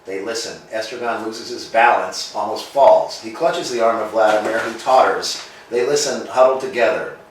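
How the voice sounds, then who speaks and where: Lower-voiced man reading stage directions. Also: moderate pace. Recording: echoey room, from a distance, faint noise.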